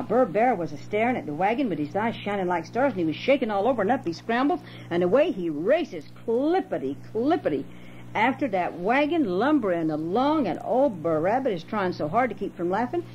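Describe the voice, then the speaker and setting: Older woman storyteller, mellow and animated. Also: mid-range voice, fairly quick. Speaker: storyteller for children. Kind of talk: folksy dialect storytelling. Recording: dull, noisy home cassette with hum.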